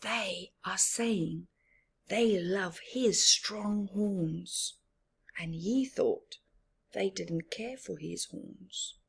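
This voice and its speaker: A woman reading a picture book aloud expressively for young children, pausing for asides to her listeners. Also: mid-range voice, gravelly, slightly rough. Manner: slow, deliberate storyteller addressing small children. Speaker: children's story reader.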